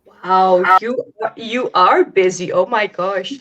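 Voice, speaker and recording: A woman's voice: mid-range voice, slow, dull audio. Chatty woman hosting a live video call. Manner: chatty, hesitant with many fillers. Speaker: host. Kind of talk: casual live video interview. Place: home, early morning.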